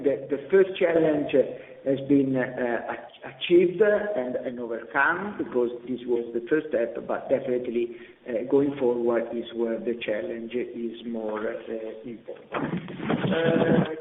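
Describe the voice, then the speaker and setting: Halting businessman in an echoey, reverberant room. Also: mid-range voice. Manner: halting, hesitant delivery with repeated words and drawn-out pauses. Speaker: company executive presenting financial results. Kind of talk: earnings conference call presentation, semi-scripted business talk. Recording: echoey hall reverb, slightly dull conference-call audio.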